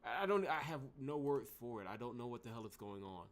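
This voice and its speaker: A man's voice: medium pitch, animated, fast. Male let's play host, mid-range voice, unscripted and emphatic, with an exasperated edge. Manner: exasperated, emphatic, swings from outbursts to muttering. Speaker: gaming channel host.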